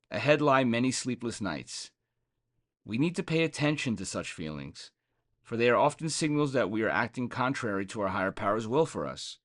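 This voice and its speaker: A man's voice: mid-range voice, a bit bright. A man reading a scripted daily meditation aloud as a guest reader.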